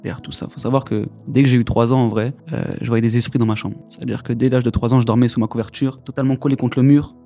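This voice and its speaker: Fast-talking young Parisian man. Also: mid-range voice, fairly even intonation, dark tone, soft background music. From Paris region, France. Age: 20s. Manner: fast, slangy, repeats words. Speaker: guest giving a testimony. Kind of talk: casual testimony.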